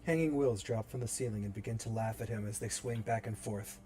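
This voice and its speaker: A man's voice: lowish voice, fairly quick, mellow. A man reading stage directions as narrator in a dramatic screenplay table read. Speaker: narrator and reader.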